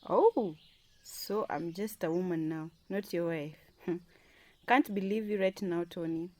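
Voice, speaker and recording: A woman playing an indignant wife and demanding boss in a radio drama, reproachful and sharp-tongued. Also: lowish voice, unhurried, lightly acted.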